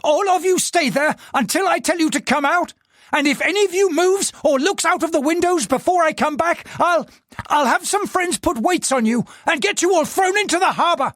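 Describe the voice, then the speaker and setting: An audiobook narrator voicing a furious middle-aged male tycoon who shouts and snaps orders at his household. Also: very high-pitched, normal speed, no echo, studio quality, pitched above the performer's own voice. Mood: enraged. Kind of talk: audiobook character voice.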